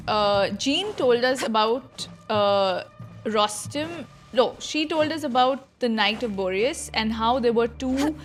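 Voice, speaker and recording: Female livestreamer, lightly high register, casual and chatty reaction commentary, soft music bed. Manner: informal, chatty, swearing. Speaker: streamer.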